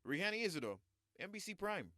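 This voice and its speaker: A man's voice: sits high, big pitch swings, quick pace, sharp tone, dry recording, studio quality. A man reading a scripted news voice-over as a reporter.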